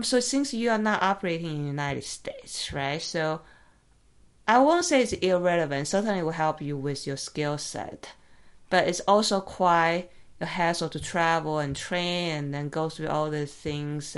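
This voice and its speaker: A podcast host with a somewhat low voice for a woman, interviewing a guest in her office. She is inquisitive, often jumping in with follow-up questions in a casual, conversational way. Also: expressive, measured pace, clean tone. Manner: curious, asks probing follow-up questions.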